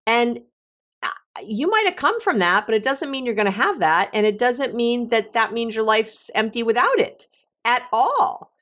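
A woman's voice: on the high side, sing-song, moderate pace, fairly bright tone. A middle-aged woman hosting a relationship advice podcast, talking casually on her own, joking and laughing at herself, with frequent restarts. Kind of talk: casual solo podcast talk.

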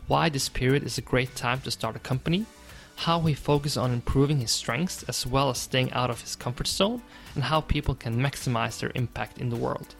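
Male host with a slightly gravelly voice, doing an upbeat scripted intro over faint music. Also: medium pitch, fairly bright tone. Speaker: podcast host. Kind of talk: podcast intro and interviewing.

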